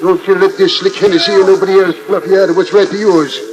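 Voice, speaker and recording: An actor playing a hearty young rancher in 1940s radio drama style. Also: male voice, high-pitched, steady tone, quick pace, natural voice, rough recording. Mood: hearty farewell. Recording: old radio disc with music behind.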